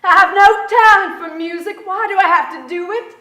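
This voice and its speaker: A woman's voice: extremely high pitch, normal speed, sharp tone. An actress playing a defiant, anguished teenage girl in a staged play reading. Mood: anguished, shouting. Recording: echoey theatre, distant mic.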